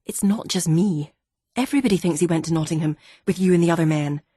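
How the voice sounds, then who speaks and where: A woman's voice: lowish voice, fast, warm tone, breathy edge, no room sound, studio quality. A woman voicing a determined young woman searching for her father, with an earnest, pleading manner.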